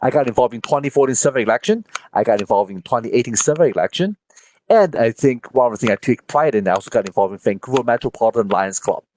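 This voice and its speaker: A man's voice: medium pitch, expressive, fast talker, a little mellow, slight background noise, poor audio. A man giving an emphatic campaign speech as a candidate, lifting his voice on key points. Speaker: political candidate. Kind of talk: campaign speech at online panel.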